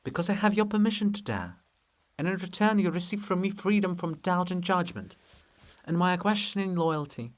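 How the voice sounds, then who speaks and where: A man's voice: sits high, lively intonation, normal speed. Man putting on a bold, sly, theatrical sister. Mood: sly, challenging. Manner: theatrical, teasing, insistent. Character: Sister Lybid, bold, sly, devoted female river spirit.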